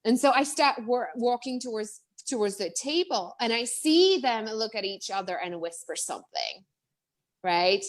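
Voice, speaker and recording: A woman with a Czech accent, a guest speaker in a live-streamed interview, talking in a chatty, animated way with many restarts and fillers. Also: a little high, normal speed. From Czech Republic.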